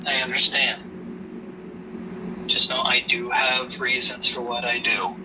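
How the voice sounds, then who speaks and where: A man playing a gentle, protective grieving father in an amateur audio drama, speaking in a halting, reassuring way. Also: medium pitch, completely flat delivery, lightly acted. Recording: noisy hobby recording, distant mic.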